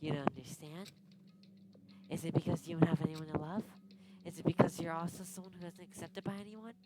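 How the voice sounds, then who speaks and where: A woman's voice: on the low side, steady tone, fairly quick, lightly acted. A woman voicing an obsessed young woman lost in devotion, dreamy and unsettling. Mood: eerie. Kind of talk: dramatic game dialogue. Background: loud game music.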